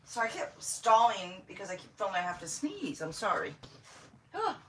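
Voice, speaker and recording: A woman's voice: mid-range voice, sing-song, normal speed, bright, forceful tone, a little hiss or hum, rough recording. An older woman doing a casual livestream tarot reading, chatty and blunt, interrupting herself and swearing freely. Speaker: tarot reader.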